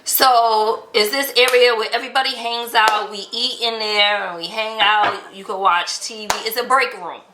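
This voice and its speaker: A woman's voice: on the high side, fairly even intonation, normal speed, few pauses, background noise. A woman telling a personal story in casual conversation, acting out quoted dialogue as she goes. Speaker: vlog host telling relationship stories. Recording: echoey untreated room.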